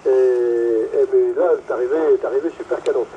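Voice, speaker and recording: A man's voice: medium pitch, fairly quick, narrow, tinny audio, slight background noise. A man chatting casually and hesitantly over two-way radio as a hobbyist, often repeating his words. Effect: two-way radio transmission. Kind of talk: casual amateur radio chat.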